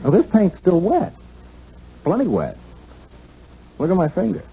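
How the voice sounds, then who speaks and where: An actor playing a good-hearted, plain-spoken police officer in a radio drama, with halting, stammering, folksy speech. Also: male voice, high-pitched, huge pitch swings, vocal fry, mellow, full character voice. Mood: awkward concern. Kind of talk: 1970s radio drama acting. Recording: muffled, hissy 1970s radio recording.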